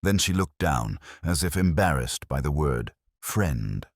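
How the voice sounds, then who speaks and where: A man reading first-person detective fiction as narrator, in a measured, reflective, literary manner. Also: extremely low pitch, lively intonation, no room sound, clean studio sound.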